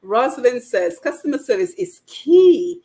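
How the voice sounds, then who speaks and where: A woman's voice: a little high, sing-song, unhurried, warm tone. Woman hosting a radio talk show. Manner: reads out viewer comments, hands the floor to guests.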